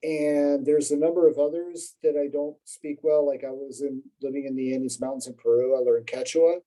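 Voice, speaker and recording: A man's voice: medium pitch, level tone, normal speed, warm tone, rough recording. A man speaking as a podcast guest, telling long rambling stories in a casual, self-deprecating way with many fillers and restarts. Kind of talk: casual conversational storytelling.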